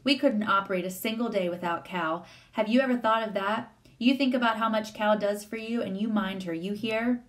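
A woman voicing a firm, flinty father in a story, measured and stern. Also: medium pitch, natural voice. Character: firm, flinty father, calm middle-aged man.

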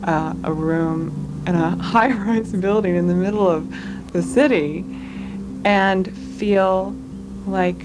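A woman talking slowly and with wonder in a documentary interview, her voice distant from the mic. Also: fairly low pitch, background noise.

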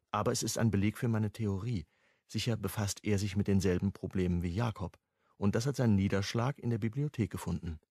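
An actor playing a hunted, wry amateur sleuth, narrating and acting in a crime radio play in a clipped, brooding way. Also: male voice, darkish tone, no echo. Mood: determined. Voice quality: low, husky. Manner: clipped, brooding, first-person telling.

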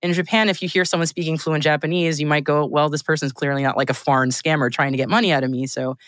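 A woman's voice: low-pitched, steady tone, fast, no echo. A woman guest on a podcast, chatting casually, laughing often and restarting her sentences. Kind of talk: casual chat.

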